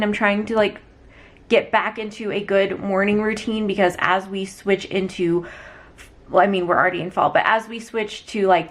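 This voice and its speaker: Slightly raspy young woman, casual vlogger. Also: medium pitch. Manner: rambling, conversational, frequent "like". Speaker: small business owner vlogger. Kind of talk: casual chatty vlog.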